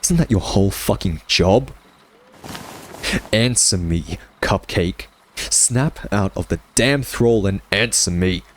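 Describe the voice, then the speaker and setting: Casting: male actor as smug vampire nemesis for ASMR roleplay, very deep, unhurried, drawling, sardonic. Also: slight background noise. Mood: frustrated, bitter. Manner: sardonic, taunting, drawling, theatrical. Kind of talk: ASMR roleplay, intimate scripted monologue.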